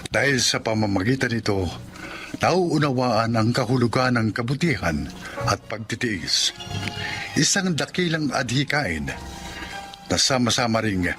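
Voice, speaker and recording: Filipino man, solemn scripted announcer, expressive melody in the voice, clear music bed. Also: mid-range voice, a little slow, long pauses. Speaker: announcer, programme host. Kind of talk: scripted dramatic radio announcing. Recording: music under the voice.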